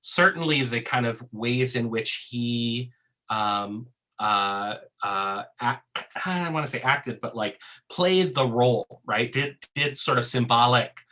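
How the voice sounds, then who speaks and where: A man hosting a podcast, telling stories in an animated way, with fillers and hesitations. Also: medium pitch, takes it slowly. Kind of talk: casual podcast conversation.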